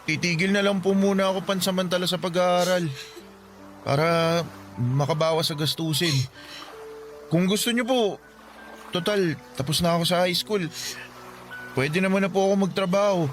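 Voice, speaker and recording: Young actor doing dutiful eldest son, polite, anxious, high voice, light music behind. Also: male voice, normal speed, pauses often, natural voice. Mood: resolute. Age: young adult. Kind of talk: radio drama acting.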